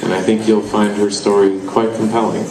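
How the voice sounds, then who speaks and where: A man's voice: fairly low pitch, level tone, fairly quick, very noisy. A man serving as emcee, giving a brief, friendly introduction of the next speaker at a live event. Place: event venue with an audience. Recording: echoey room, far from the mic, crowd behind.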